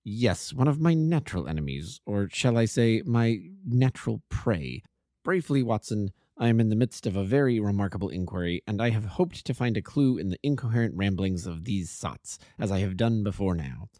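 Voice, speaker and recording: A man reading a story aloud, voicing a calm, masterful detective who speaks in a precise, definite way. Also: on the low side, moderate pace, no echo, clean studio sound, natural voice. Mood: assured.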